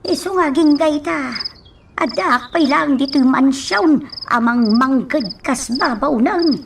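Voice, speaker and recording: A burdened, secretive servant in an Ilocano radio drama. Her highish voice moves slowly and haltingly, circling what she will not say. Clear background noise surrounds her. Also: far from the mic. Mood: uneasy, guarded. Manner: hesitant, slow, halting. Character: uneasy, secretive household servant woman, evasive and burdened.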